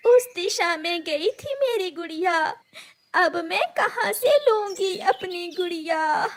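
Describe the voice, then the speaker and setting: A woman's voice: very high-pitched, animated, takes it slowly, voice pushed up, exaggerated voice. A woman voicing an anxious, tender mother bird in a cartoon, pleading and emotional, over background noise. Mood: tearful. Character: anxious, weeping mother bird, tender toward her daughter.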